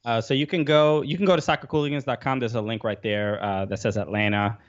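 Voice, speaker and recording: A man's voice: mid-range voice, fairly even intonation, fast, dull audio. A man guesting on a podcast, speaking hesitantly with many fillers. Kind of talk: casual podcast chat.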